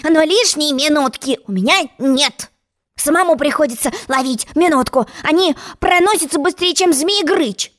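A woman's voice: sits high, normal speed, fairly bright tone, pitched above the performer's own voice. A woman voicing a blustering, scatterbrained king in a storybook, with pompous exclamations. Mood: flustered.

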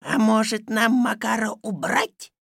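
A woman's voice: fairly high pitch, fairly even intonation, measured pace, gravelly, husky, dry recording, clean studio sound, heavily acted. A woman voicing a cowardly, insolent male scribe with a whiny, wheedling manner in a storybook reading. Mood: servile.

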